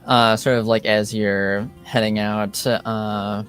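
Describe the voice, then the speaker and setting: Slow, halting game master man. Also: lowish voice, little pitch movement, a bit bright, faint music behind. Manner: halting, filler-heavy scene narration. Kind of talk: narrating a tabletop game.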